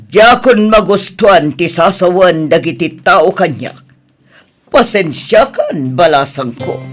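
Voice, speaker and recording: Apologetic parent, Ilocano accent, radio drama. Slow, husky, fairly high voice. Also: male voice, very animated, exaggerated voice. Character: apologetic parent of a grown daughter.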